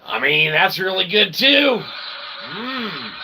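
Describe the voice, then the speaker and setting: A man's voice: high voice, big pitch swings, slow, bright, forceful tone, slight background noise. A man hosting a show in a rambling, jokey, theatrical way with mock-formal wordy phrasing. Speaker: show host and author. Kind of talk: rambling show sign-off addressed to audience.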